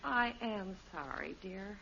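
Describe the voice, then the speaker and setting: A woman's voice: medium pitch, expressive, slow, sharp tone, exaggerated voice. An actress playing a long-suffering, sensible American wife and mother in a 1950s radio sitcom, scolding but patient. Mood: soothing, weary. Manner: exasperated but patient, clearly enunciated. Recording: muffled, hissy old radio recording.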